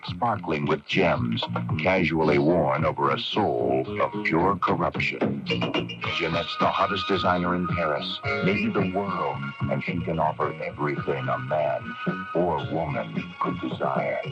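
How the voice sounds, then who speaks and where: A man's voice: a bass voice, unhurried. A man announcing a scripted radio commercial with sultry, dramatic salesmanship. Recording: muffled radio broadcast, loud music behind. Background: loud music.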